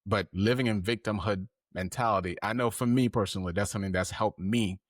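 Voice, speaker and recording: A man's voice: fairly low pitch, fairly quick, no echo, clean studio sound. A man hosting a heartfelt interview podcast, talking earnestly and rambling with fillers. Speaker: podcast host.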